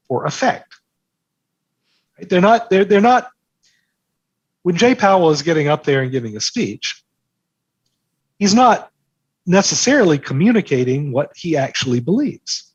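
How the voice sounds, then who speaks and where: A man's voice: on the high side, darkish tone, slightly rough, no echo, lo-fi sound. A man talking as an interview guest, explaining things conversationally and emphatically, repeating phrases for effect. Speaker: interview guest, markets newsletter author.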